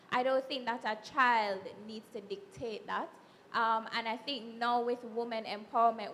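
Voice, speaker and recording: A young woman panelist making her case in a measured, persuasive way. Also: a little high, a bit bright. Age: young adult. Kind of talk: live panel discussion. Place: event hall, live audience. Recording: hall reverb with faint noise.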